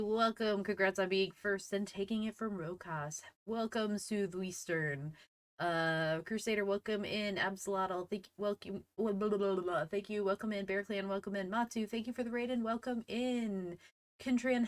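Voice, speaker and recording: A woman's voice: mid-range voice, no echo. A woman hosting a casual livestream, chatting to viewers in a bubbly, enthusiastic manner. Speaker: livestream host, VTuber; teacher.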